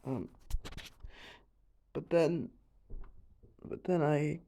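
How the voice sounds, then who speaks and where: A man playing a distraught, grieving young man in an audio roleplay confession, stammering and trailing off as he haltingly tells an unbelievable story. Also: a little high, steady tone, gravelly, warm tone, exaggerated voice, low-quality recording. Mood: nervous, composing himself.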